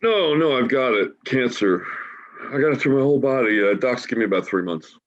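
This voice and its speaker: A man's voice: medium pitch, expressive, brisk, lightly acted. An actor playing an upbeat homeless man who welcomes his death, talking colloquially and emphatically in a staged play reading. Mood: matter-of-fact. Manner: colloquial, emphatic, matter-of-fact about death. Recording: dull, lo-fi video call audio.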